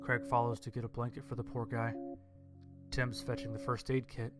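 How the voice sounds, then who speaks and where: Man, horror story narrator, scripted reading, very level intonation, clear instrumental backing. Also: medium pitch, little pitch movement, normal speed. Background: instrumental music.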